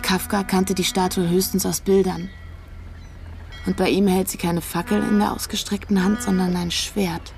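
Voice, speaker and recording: A woman's voice: lowish voice, little pitch movement, moderate pace, creaky, darkish tone, soft background music. An actress playing a searching, disillusioned young woman in a naturalistic radio drama, talking pensively. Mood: thoughtful.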